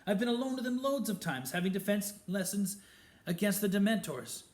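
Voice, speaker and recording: A man's voice: high voice, lively intonation, brisk, darkish tone, pitched above the performer's own voice. A man voicing a defiant teenage boy wizard who speaks in an urgent, heated way. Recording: roomy echo.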